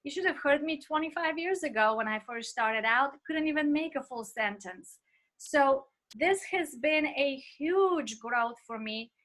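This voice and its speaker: Middle-aged woman with an accent, ministry teacher; bright, a little high, earnest and anecdotal. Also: animated, unhurried. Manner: speaks with an accent; earnest, personal anecdotes, frequent fillers. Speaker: ministry instructor. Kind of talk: recorded online class lecture.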